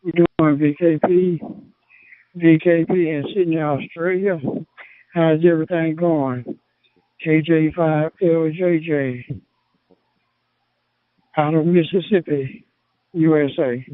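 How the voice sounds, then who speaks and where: Male ham operator, fairly high voice, formulaic, repeated phrases, phone-like radio sound. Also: fairly even intonation, takes it slowly, pauses often, dry recording. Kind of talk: ham radio contact, clipped sign-offs. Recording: dry, tinny phone-like radio transmission.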